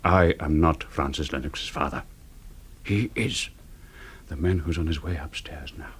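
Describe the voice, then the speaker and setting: An actor playing a grave, bitter older man in a suspense radio drama, speaking with measured, cold courtesy. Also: a bass voice, a little hiss or hum. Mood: urgent, secretive.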